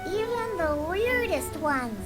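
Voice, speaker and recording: A woman's voice: extremely high pitch, very animated, takes it slowly, full character voice. A woman voicing a proud, feisty young catgirl bartender in a video game, with a girlish, sassy, theatrical delivery. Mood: storytelling. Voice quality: girlish, childlike. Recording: game music behind the voice.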